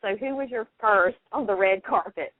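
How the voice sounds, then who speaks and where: A woman's voice: medium pitch, normal speed. A woman interviewing casually on a radio show, asking questions and reacting to the answers. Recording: dry, narrow phone-like radio line.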